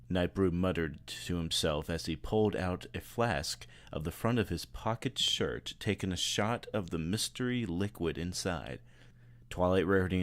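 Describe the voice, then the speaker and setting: A man's voice: low-pitched, no room sound. A man reading scripted story narration as an amateur fan-fiction narrator.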